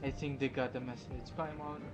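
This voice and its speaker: A casual gaming commentator talking over a playthrough in a hesitant, low-key way. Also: steady tone, brisk, over music. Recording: quiet microphone under loud game audio.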